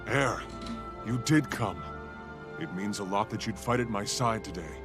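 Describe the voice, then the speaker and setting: A man's voice: fairly low pitch, a little slow, music in the background, close to an everyday voice. An actor playing a bold, resolute male warrior hero in a fantasy video game, assured and straightforward. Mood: resolute, friendly.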